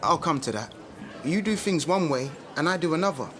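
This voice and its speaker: A man's voice: fairly high pitch, animated, a little fast, fairly bright tone, a little hiss or hum. An actor playing a confident, street-smart young man with a London accent in a radio drama, slangy and opinionated. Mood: upbeat. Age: young adult. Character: confident young British man, outspoken musician-turned-speaker, street-smart. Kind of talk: naturalistic radio drama dialogue.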